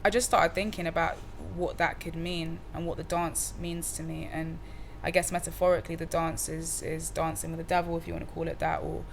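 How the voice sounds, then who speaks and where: A woman's voice: low-pitched, level tone, fairly quick, vocal fry, hardly any pauses, a little hiss or hum. A young woman from Cardiff, chatting casually in an interview with loose slang, fillers and restarts. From Cardiff, Wales. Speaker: musician guest.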